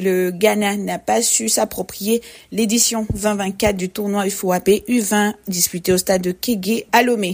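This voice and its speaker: A woman reading a sports news bulletin in a steady, formal newsreader manner. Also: mid-range voice. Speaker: news presenter.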